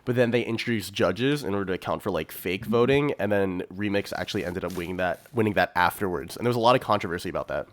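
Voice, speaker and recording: A man's voice: deep voice, fast talker, few pauses. A man co-hosting a podcast, telling anecdotes in a slangy, conversational way. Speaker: podcast co-host. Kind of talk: casual chat, anecdotal commentary.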